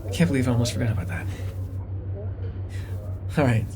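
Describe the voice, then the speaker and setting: Man acting as a friendly college guy, lively pitch. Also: fairly quick, crowd noise, lightly acted. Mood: shy, hopeful. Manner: casual, hesitant, conversational with soft teasing. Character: friendly, teasing college-age man chatting with close friend. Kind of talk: slice-of-life ASMR roleplay acting.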